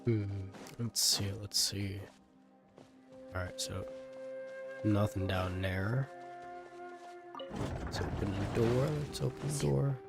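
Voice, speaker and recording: A man's voice: fairly low pitch, fairly even intonation, slow, creaky, pauses often. A man casually commentating on a live gameplay stream, muttering as he thinks aloud. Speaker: game streamer. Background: game music.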